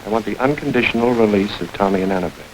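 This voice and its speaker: An actor playing Gene, a world-weary middle-aged crook, in a 1940s radio drama, clipped and sardonic. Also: male voice, fairly low pitch, close to an everyday voice, rough recording. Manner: clipped, sardonic, quick delivery. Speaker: Gene, a criminal facing the chair. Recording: hissy old radio recording.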